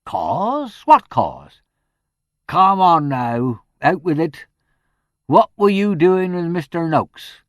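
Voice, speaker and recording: A man voicing a gruff, exasperated middle-aged police superintendent. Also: on the high side, very animated, takes it slowly, no room sound, clean studio sound, voice pushed up. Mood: demanding. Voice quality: gruff, blustering.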